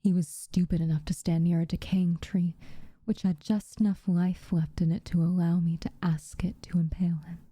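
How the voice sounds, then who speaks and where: A haughty tsundere witch acted by a woman speaks in a very mellow, dark tone, breathy and often near a whisper. Her phrasing is formal and unhurried, guarded on the surface with tenderness underneath. The sound is close and dry, as in an ASMR roleplay. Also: fairly low pitch, little pitch movement, no echo. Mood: grim, measured. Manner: slow, formal, measured wording with reluctant warmth. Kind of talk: ASMR roleplay, softly spoken to the listener.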